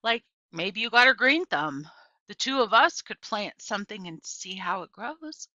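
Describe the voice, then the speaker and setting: A woman voicing a kind, wise old Southern woman from a children's storybook in a folksy, encouraging, unhurried way. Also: medium pitch, sing-song, bright, forceful tone, no echo, lo-fi sound.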